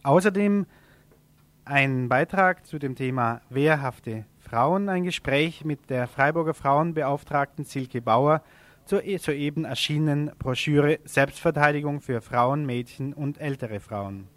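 Guy reading local event news on the radio, kinda high voice, dry close sound, informal. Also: a little slow, fairly bright tone, no echo. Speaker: radio presenter.

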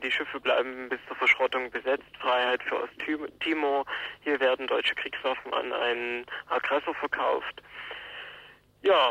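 A man giving a spontaneous interview account as an activist spokesman, with halting, hesitant delivery. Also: mid-range voice, fairly bright tone, no room sound. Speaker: press coordinator for protest campaign, interviewee. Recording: muffled telephone interview line.

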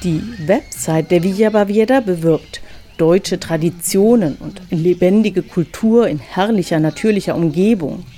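A woman's voice: on the low side, normal speed, warm tone, faint music behind. A woman reading scripted documentary narration as a reporter.

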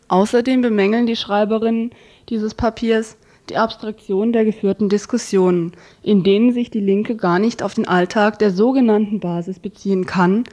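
A woman's voice: mid-range voice, warm tone. A woman hosting a political radio programme, reading scripted narration. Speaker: radio host.

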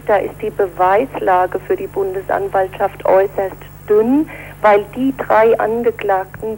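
A woman speaking as a defence lawyer in an interview, explaining things in a measured, formal legal way. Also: medium pitch, a little mellow, slight background noise, poor audio. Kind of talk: spoken interview answers.

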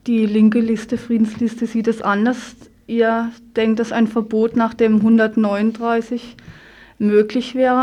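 A woman's voice: a little high, dark tone. A woman moderating a radio discussion, putting questions to her guests. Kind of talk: interview questioning.

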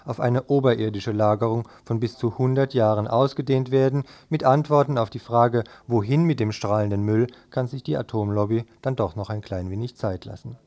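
Male news reader, medium pitch. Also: mellow. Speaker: news presenter. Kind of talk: scripted news reading.

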